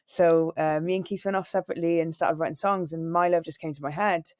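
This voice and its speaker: This young woman talks in a low, deep register with a dry, close sound. She tells stories in a relaxed way and acts out other people's lines. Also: fast talker, no echo. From Wales. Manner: animated storyteller, acts out quoted people. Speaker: singer and radio presenter, interview guest. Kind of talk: relaxed interview conversation.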